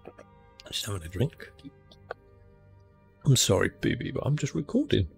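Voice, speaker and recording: A man speaks in a low, hushed murmur with a gravelly creak in it. He gives relaxed ASMR gameplay commentary, often slipping toward a whisper, with faint music underneath. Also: normal speed. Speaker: gaming video host.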